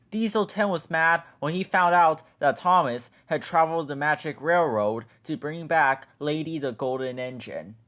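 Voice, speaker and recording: A man reading a children's picture book aloud in a lively, expressive storytelling manner. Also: a little high, no room sound.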